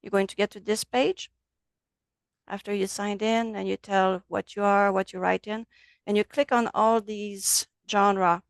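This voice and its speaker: French-accented woman teaching online, gravelly voice. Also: medium pitch, level tone, measured pace, dry recording. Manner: friendly, loose, switches between English and French. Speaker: software class instructor. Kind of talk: casual online class walkthrough. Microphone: video call.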